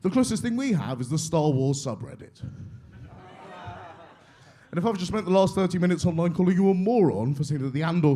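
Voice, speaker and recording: A man's voice: a little high, dark tone. A man doing a stand-up set of dry, pun-heavy jokes on a comedy club stage. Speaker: stand-up comic. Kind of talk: stand-up set with deadpan puns.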